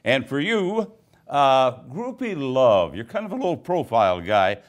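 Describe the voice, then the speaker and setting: A man hosting a promotional interview in a showy, mock-grand announcer manner with drawn-out emphasis. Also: medium pitch, sing-song. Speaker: interviewer in a team promotional segment.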